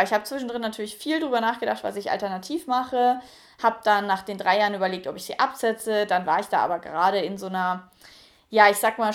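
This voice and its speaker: A young woman in her late twenties talks straight to her viewers in a relaxed, confiding way. Her voice sits at an ordinary middle pitch. She speaks frankly and loosely, with plenty of fillers and small restarts. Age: 20s. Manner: chatty, frank, many fillers. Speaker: YouTuber. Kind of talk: casual personal vlog.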